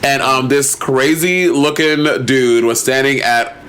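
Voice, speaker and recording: A man's voice: mid-range voice, slow, fairly bright tone, soft background music. A man in his late twenties chatting casually as a podcast co-host, playful, slangy and exclamatory, sharing anecdotes. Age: late 20s. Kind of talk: casual chat, personal anecdotes. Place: at home in an apartment.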